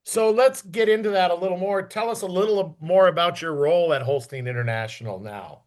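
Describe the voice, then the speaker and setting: A man interviewing a guest on a podcast in a conversational, encouraging and deliberate way. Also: sits high, darkish tone.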